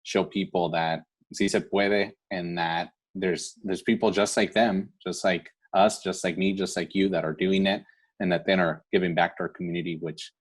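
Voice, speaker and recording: Man, deep voice, event host, earnest, encouraging, informal heartfelt address, online ceremony. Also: little pitch movement, moderate pace. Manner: earnest, encouraging, often seeking agreement with "right?". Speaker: event host for a scholarship foundation. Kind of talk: heartfelt informal speech at a virtual awards event.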